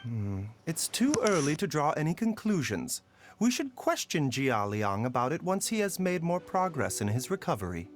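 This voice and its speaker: A man voicing a calm, learned physician in a video game, explaining things in a measured, gentle way. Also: on the high side.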